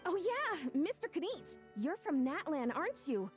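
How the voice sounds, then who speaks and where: A woman playing a chatty, modest and easily flustered young woman in a video game, expressive and a little hesitant. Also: sits high, measured pace, soft background music.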